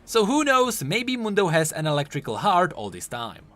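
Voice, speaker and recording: Very animated, bright-voiced male video commentator. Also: high-pitched, normal speed. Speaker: video host, game lore commentator. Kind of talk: scripted commentary on game voice lines. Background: music.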